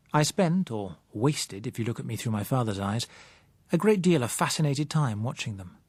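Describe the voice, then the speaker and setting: A man narrates a novel in a measured, literary reading style. His voice sits at a medium pitch and his words are carefully articulated. The sound is close and dry, with no sense of a room around him. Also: a little mellow, no echo. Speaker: audiobook narrator. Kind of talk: scripted audiobook narration of a novel.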